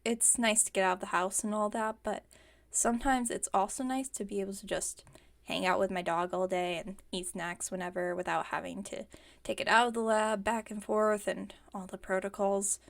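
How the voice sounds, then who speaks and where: A woman's voice: medium pitch, fairly even intonation, normal speed, vocal fry, natural voice. A woman playing a playfully defensive, stubborn villain inventor in an ASMR roleplay, chatting and teasing with mock innocence. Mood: chatty, casual. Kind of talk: ASMR roleplay, one-sided conversational acting.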